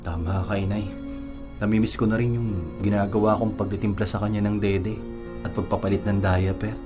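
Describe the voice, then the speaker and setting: A man's voice: lowish voice, fairly even intonation, normal speed, over music, close to an everyday voice. A man playing a respectful, worried young son with a Filipino accent in a radio drama.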